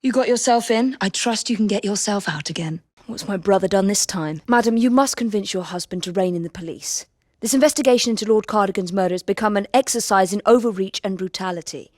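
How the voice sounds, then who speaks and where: Casting: woman playing young Victorian female assassin for a game, English accent, composed, determined, dryly witty, mid-pitched, very dry studio sound. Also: a little fast, a little mellow, no echo, lightly acted. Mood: grave, subdued. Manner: crisp, formal, composed phrasing. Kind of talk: dramatic video game voice acting.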